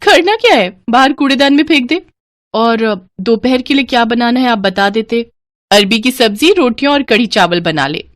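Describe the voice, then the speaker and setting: A woman's voice: on the high side, expressive, moderate pace, voice pushed up, close to an everyday voice. A woman voicing a spoiled, wasteful young wife who answers back dismissively. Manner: dismissive, raised voice.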